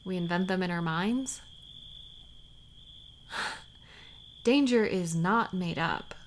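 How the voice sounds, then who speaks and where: Woman acting a worried sweetheart, slow-paced, soft, halting, tender, intimate audio drama, faint crickets behind. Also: on the low side, slight background noise. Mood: pensive, questioning. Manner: soft, halting, sighing, sometimes near whisper. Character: tender, worried woman parting from her warrior beloved. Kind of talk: intimate scripted audio roleplay.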